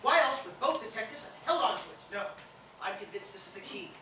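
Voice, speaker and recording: A flustered, earnest woman sleuth in a stage farce, played by an actress. The voice is fairly high and lively in pitch, emphatic, and washed in heavy theatre echo. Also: quick pace, natural voice. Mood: excited conviction. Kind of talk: comic stage acting at a staged reading. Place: theatre stage, recorded from audience distance. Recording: echoey theatre, distant mic, clear noise.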